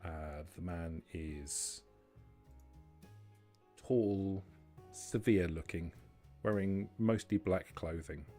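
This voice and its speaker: A man working as a game master, narrating a tabletop game scene in measured, atmospheric short phrases. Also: low voice, dark tone. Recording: background music under voice.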